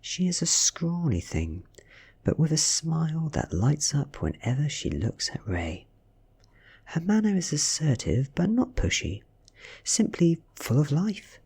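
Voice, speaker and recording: British man, ASMR audiobook reader, hushed half-whisper, breathy, slow, soothing, lilting pitch movement. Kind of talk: soft-spoken ASMR story reading.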